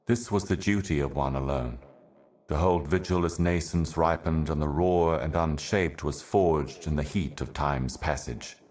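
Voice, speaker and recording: An ancient cosmic envoy speaks in an exceptionally low, bottomless male register. The delivery is measured and grave, like a prophet reciting cryptic scripture for a dark fantasy game. Every phrase carries solemn, portentous weight. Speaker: video game character.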